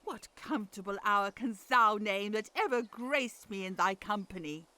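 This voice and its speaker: A woman's voice: medium pitch, normal speed, pushed and bright, no room sound, lightly acted. An actress playing an aged royal mother who curses her son in theatrical, grieving verse. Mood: scornful. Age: older. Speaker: actor. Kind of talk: Shakespearean audio drama.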